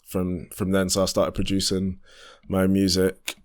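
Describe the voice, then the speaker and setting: A man in his twenties with a London accent and a deep voice, talking in a laid-back, modest and rambling way as a guest musician on a chat show. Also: completely flat delivery, normal speed. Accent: London English. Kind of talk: casual chat.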